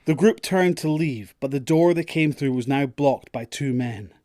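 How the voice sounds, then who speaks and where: A man's voice: medium pitch, moderate pace, dark tone, no room sound, studio quality. A man narrating a scripted audio drama story.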